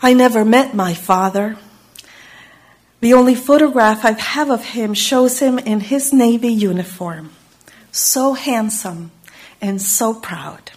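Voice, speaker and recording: A woman from Chile, giving a slow, reflective Unitarian Universalist sermon and lingering on single words. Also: a little high, a little mellow.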